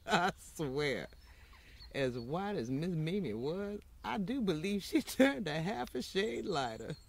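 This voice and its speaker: A woman performs an outspoken, unapologetic housemaid in an old-time radio drama. The delivery is very slow and folksy, with pauses for effect. The pitch swings widely as the plainspoken storyteller makes each point. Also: animated, vocal fry, a little hiss or hum, full character voice. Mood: wry, satisfied.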